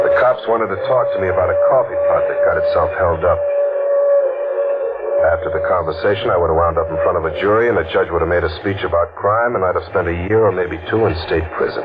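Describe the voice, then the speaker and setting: Deep-voiced hard-boiled drifter. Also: male voice, very noisy. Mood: bitter, cynical. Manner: terse, sullen, streetwise narration. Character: cynical, hard-boiled young male drifter on the run. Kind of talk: 1940s radio noir first-person narration. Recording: muffled old radio recording, loud music.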